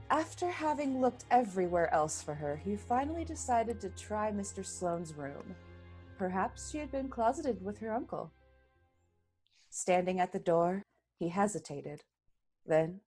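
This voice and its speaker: A woman narrates a mystery tale with theatrical suspense. Her voice is fairly low and mellow, moving through very lively intonation over some background music. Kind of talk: scripted mystery story narration.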